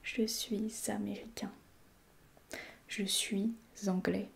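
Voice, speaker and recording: A French woman, a native French speaker with a French accent, softly whispering a patient ASMR roleplay lesson as a French teacher. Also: mid-range voice, little pitch movement, slow, gravelly. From France. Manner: soft whispering, patient repetition of phrases.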